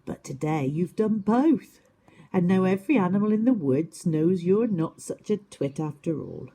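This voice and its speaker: A woman's voice: fairly low pitch, expressive, moderate pace, mellow. A woman voicing a kindly, encouraging female woodland animal in a storybook, reassuring and full of advice. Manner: reassuring, advising.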